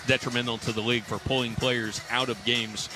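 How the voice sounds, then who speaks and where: A man's voice: medium pitch, flat delivery, brisk, over music. A man giving a sports news update as a radio studio host.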